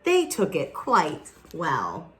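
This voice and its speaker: A woman reading a picture book aloud expressively, with dramatic pauses and casual asides to young listeners. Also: low-pitched, wildly swooping pitch. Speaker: children's picture book reader.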